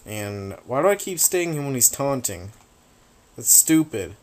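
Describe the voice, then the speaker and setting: A man giving rambling, casual commentary over a video game battle, swearing offhandedly, his voice getting raspy from talking a long time. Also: mid-range voice, sing-song, a little slow, darkish tone.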